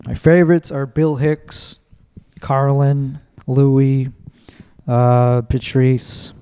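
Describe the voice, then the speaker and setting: A man with a mid-pitched, warm, mellow tone talks as a podcast guest. His delivery is blunt, candid and offhand. The voice comes through smooth and resonant. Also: flat delivery, extremely slow. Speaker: stand-up comedian guest. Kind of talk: casual conversational interview.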